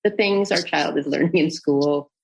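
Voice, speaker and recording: A woman's voice: deep voice, normal speed, vocal fry, low-quality recording. A woman from Calgary, Canada, hosting a podcast, engaged and laughing easily as she talks. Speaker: podcast host. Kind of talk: casual conversation.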